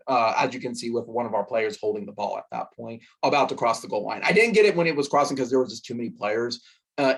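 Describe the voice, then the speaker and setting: Hoarse man chatting about a game. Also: mid-range voice. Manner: chatty, rambling with fillers. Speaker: sports podcast host. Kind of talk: casual game recap.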